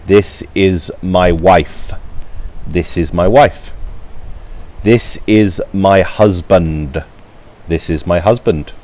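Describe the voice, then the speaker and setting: A man with a very low voice reads English practice sentences in a lesson. He speaks slowly and deliberately, saying each phrase twice, carefully first and then at an everyday pace. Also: a little mellow. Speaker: English teacher. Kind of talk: slow read-aloud language lesson.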